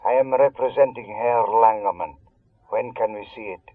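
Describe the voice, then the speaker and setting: A narrow, phone-filtered voice comes down the line. It is a curt, businesslike go-between in a radio spy thriller. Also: male voice, lowish voice, moderate pace. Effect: telephone filter. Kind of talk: radio drama acting. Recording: thin phone-like band.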